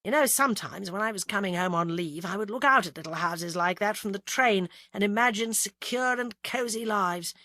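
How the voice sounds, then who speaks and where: Lady playing a posh composed ex-army gent in an audiobook, polite, measured, teasing, no room echo. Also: mid-range voice, bright, forceful tone, studio quality, close to an everyday voice. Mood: calm, reflective. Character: urbane, composed, teasing upper-class ex-military man. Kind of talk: audiobook character acting.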